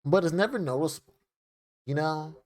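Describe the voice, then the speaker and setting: Young dude reacting to a vid, rambly, lots of likes and ums, dry close mic. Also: male voice, on the high side, fairly quick, no room sound. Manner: rambling, filler-heavy. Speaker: video reactor. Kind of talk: casual reaction chat. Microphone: desk microphone.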